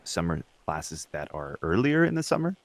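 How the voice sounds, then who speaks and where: A man's voice: low-pitched, sing-song, moderate pace, gravelly, no room sound. A young man co-hosting a podcast, chatting informally and swearing casually. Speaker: podcast co-host; substitute teacher.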